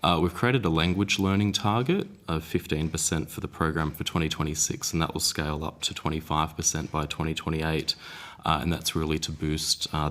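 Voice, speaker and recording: Man, very deep voice, government official, formal briefing, steady, factual. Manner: steady, factual, figure-heavy.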